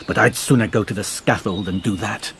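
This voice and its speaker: Troubled, guarded gentleman. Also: lowish voice, a little fast, vocal fry, noisy background. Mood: grave, resolute. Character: anguished, secretive, honourable gentleman fiancé. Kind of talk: British radio drama acting.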